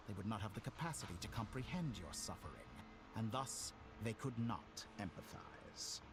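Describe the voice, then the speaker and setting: Silky smug game villain, low voice that swoops around, condescending, theatrical, music behind him. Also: male voice. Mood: sinister, coaxing. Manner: theatrical, condescending, mock-polite, deliberate. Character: smug, silky male villain with godlike power over time. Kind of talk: scripted video game dialogue.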